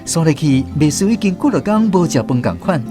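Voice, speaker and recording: A man with a Taiwanese accent narrating a scripted radio drama as a grown son, reflective and expressive. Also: a little high, fairly quick. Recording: music behind the voice.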